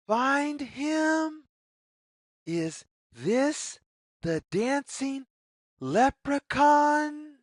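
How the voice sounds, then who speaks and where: A woman reading a story aloud for young children, phrasing deliberately and putting questions to the listener. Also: high-pitched, dry recording, studio quality. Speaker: children's read-aloud narrator.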